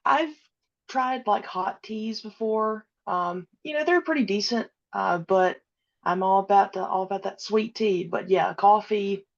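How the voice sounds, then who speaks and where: Young female guest, vocal fry, muffled lo-fi audio, relaxed and chatty. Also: medium pitch, unhurried. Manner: easygoing, many fillers and restarts, giggly. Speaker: podcast guest, audio drama creator. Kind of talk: casual interview chat. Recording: dull lo-fi sound.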